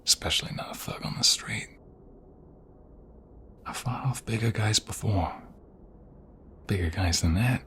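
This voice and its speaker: A man acting a protective mobster husband in an ASMR romantic roleplay, tender but fierce when threatened, with a soft, breathy voice that often drops to whispers. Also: fairly low pitch, measured pace, pauses often, a little hiss or hum. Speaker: voice actor.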